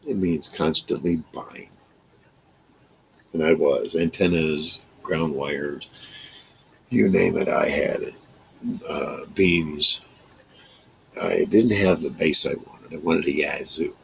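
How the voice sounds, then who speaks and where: A man speaks in an extremely low, creaky and husky voice. He rambles casually to camera, talking in halting, broken phrases like an informal vlog. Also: pushed and bright, rough recording. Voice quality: gravelly, rough. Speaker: vlogger reminiscing about a radio hobby. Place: small wood-paneled room indoors.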